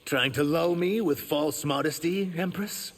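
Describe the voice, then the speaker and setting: A formal, wry court warrior from a fantasy game. His voice is a little high with a creaky grain. Music plays clearly behind it. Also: a little slow. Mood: wry, measured. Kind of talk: video game dramatic acting.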